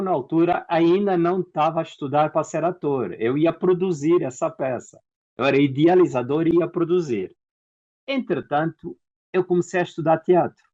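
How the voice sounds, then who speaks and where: Angolan man telling stories, muffled audio. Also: a little high. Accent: Angolan Portuguese. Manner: animated storyteller, acts out quoted dialogue. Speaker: actor and playwright, interview guest. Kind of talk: storytelling interview answers.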